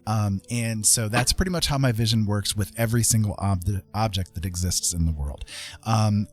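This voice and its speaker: A man hosting a casual livestream, chatting in a friendly, rambling way as he paints. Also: fairly low pitch. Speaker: live art streamer and host.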